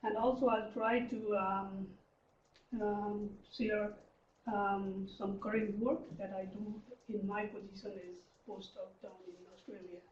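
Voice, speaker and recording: A man in his thirties from Nepal giving an academic research presentation, pausing often with um and uh. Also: sits high, level tone. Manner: hesitant, frequent um and uh fillers. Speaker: research lecturer. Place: lecture room. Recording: distant, dull, roomy lecture recording.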